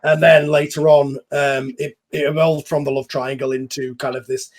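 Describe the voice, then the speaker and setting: A man with a fairly high voice hosts a relaxed podcast conversation on an online call. He talks in a loose, rambling way, like a creator chatting with colleagues. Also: moderate pace. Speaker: host and series creator. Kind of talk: casual podcast chat. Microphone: online group voice call.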